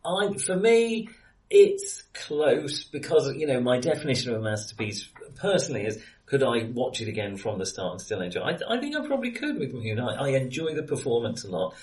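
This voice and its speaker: A man's voice: mid-range voice, big pitch swings, fairly quick, a little mellow, recorded from a distance, rough recording. A man co-hosting a casual film discussion, feeling his way through his opinions with hesitant, self-correcting speech. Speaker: podcast co-host.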